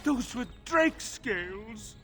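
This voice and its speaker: A man's voice: very high-pitched, animated, slow pace, pushed and bright, soft background music, exaggerated voice. An actor playing an exasperated, indignant male merchant in a video game. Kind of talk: video game character dialogue.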